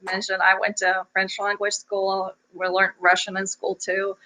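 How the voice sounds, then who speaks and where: Bulgarian-born woman, Bulgarian first language, children's author guest, bright voice, laughs easily. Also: mid-range voice, monotone, a little slow, low-quality recording. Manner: laughs often, warm and modest. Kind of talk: interview conversation.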